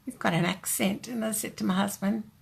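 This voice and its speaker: A woman's voice: mid-range voice, steady tone, brisk, gravelly, husky. An older woman with an Australian accent, hosting a rambling, chatty craft live stream and greeting viewers by name.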